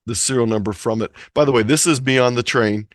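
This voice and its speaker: A man from Ohio talks through his points as an informal online presenter. His voice has an average pitch. The sound is close and dry, with little sense of space around it. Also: fast, no echo. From Ohio, United States. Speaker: presenter of an amateur radio talk. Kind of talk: informal explanatory presentation on a video call. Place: online video meeting.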